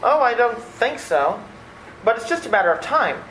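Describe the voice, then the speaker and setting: A woman's voice: on the high side, very animated, normal speed, a bit bright. A woman playing an exasperated, sardonic young man in an old-time radio play. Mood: flustered, frantic. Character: exasperated, sardonic young male theatre critic. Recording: echoey room, faint noise.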